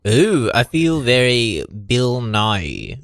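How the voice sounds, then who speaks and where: A man chats casually as a co-host. He speaks extremely slowly, with animated pitch movement. The sound is dry and close. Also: no room sound. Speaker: podcast co-host. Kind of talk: casual chat.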